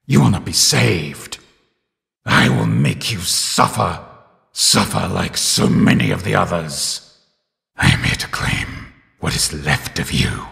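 A man voicing a menacing, sadistic undead animatronic rabbit killer in a video game, with a growling, gravelly voice and drawn-out delivery. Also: sits low, animated, sharp tone, heavily acted. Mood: threatening. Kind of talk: video game villain voice lines. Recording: long cavernous reverb.

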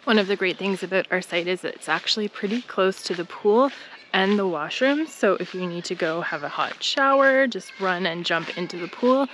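A woman's voice: fairly low pitch, expressive, moderate pace, a bit bright, slight background noise. A woman, giving casual travel vlog narration. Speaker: travel vlogger.

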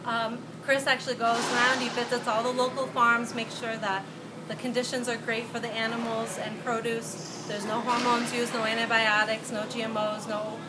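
A woman's voice: on the high side, level tone, normal speed. Friendly young woman explaining, noisy room. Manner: friendly, explanatory, some ums. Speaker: catering business owner. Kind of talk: casual interview. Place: bright indoor room with glass wall. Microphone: far camera. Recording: camera audio with loud background noise.

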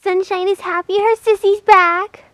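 A woman's voice: very high voice, steady tone, measured pace, no echo, studio quality. A woman narrating a casual horse vlog in a chatty way, speaking fondly of her animals. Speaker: horse vlogger.

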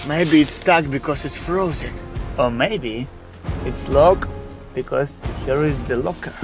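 Young guy w slavic accent, slow excited vlog talk, kinda high voice, music under it. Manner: excitable, narrates each find out loud, non-native grammar. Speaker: adventure vlogger. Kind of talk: exploring vlog narration. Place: inside a snow-filled boat cabin, outdoors in winter.

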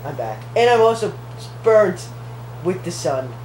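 Teen boy, wisecracking, lively up-and-down voice, echoey room with some hiss. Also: high-pitched, unhurried. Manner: wisecracking, teasing. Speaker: vlog co-host. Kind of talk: casual chat.

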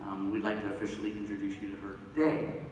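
A man's voice: on the low side, completely flat delivery, fast talker. A man hosting a stage panel, introducing and questioning guests in an informal, stop-start way. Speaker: panel host. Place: large convention hall stage, audience recording from afar. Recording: very echoey distant hall, crowd noise.

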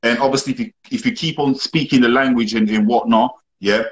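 A man's voice: medium pitch, steady tone, a little fast. A young man whose first language is Portuguese, chatting casually on a live video call from a room, often saying "obviously" and "you know what I mean". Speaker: guest rapper. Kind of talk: casual chat on a live stream. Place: indoor room. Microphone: phone at arm's length, video call.

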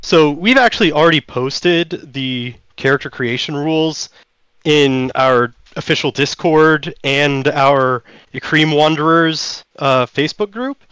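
A man's voice: a little high, unhurried, fairly bright tone, smooth, dry recording. A man whose first language is German, explaining his tabletop game in an interview, with many fillers and restarts. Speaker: game designer guest. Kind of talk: interview answers.

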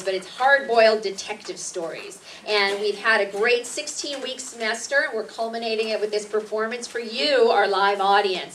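A woman's voice: on the high side, fairly bright tone. A woman host and instructor giving welcoming announcements to a live audience in an indoor room. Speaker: acting instructor and event host. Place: meeting room stage, indoors. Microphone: far camera. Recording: distant camera mic, clear background noise.

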